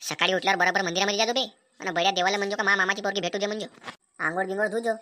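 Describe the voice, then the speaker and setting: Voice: mid-range voice, steady tone, rapid-fire, pushed and bright, a little hiss or hum, natural voice. A performer voicing a cheeky village drinking buddy in a comic cartoon, giving teasing, coarse advice in rural Vidarbha Marathi slang. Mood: teasing, advising. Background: music.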